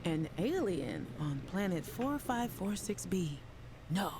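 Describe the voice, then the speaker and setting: A skeptical, inquisitive female scientist from a video game. Her delivery is questioning and highly animated, with faint ambient noise underneath. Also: slow pace. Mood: incredulous. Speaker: game character voice actor. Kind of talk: acted recorded dialogue in a video game.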